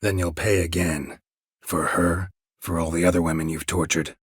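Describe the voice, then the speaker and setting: An actor playing a stoic, world-weary monster hunter in a fantasy video game, gruff and gravelly, with a dry, deadpan, clipped delivery. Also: male voice, moderate pace, dry recording. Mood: stern. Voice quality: gravelly, husky low voice.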